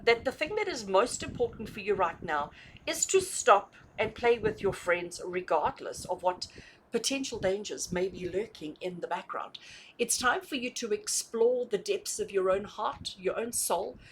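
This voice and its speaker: A woman's voice: medium pitch, fairly bright tone, poor audio. Chatty tarot reader lady, really up-and-down delivery, keeps rephrasing and asking questions, bit of noise behind her. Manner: emphatic, repeats and rephrases points, often checks in with rhetorical questions. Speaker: tarot reader hosting a reading. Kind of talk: conversational, intuitive tarot reading addressed to viewers.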